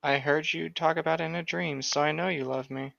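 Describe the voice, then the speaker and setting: Deadpan young man, slightly muffled dry recording. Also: a little high, fairly quick, vocal fry, dry recording. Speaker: co-host reading and mocking a book. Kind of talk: casual banter and mock read-aloud.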